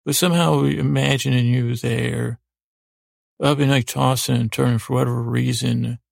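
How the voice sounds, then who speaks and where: A man with a gravelly voice hosting a sleep podcast, giving a soothing, rambling bedtime monologue that halts, repeats and wanders off mid-thought. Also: medium pitch, steady tone, no room sound, clean studio sound. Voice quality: gravelly, creaky. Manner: halting, meandering, repeats and trails off mid-thought.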